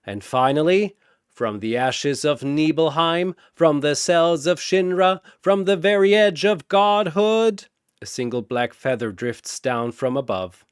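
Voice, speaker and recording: Slow, dramatic male narrator. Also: a little high, animated, clean tone, dry recording, clean studio sound.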